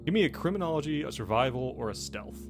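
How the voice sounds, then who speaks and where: A man running a tabletop roleplaying game, improvising scene narration in a conversational, halting way with frequent rephrasing. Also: a little high, lively intonation. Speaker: game master. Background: background music.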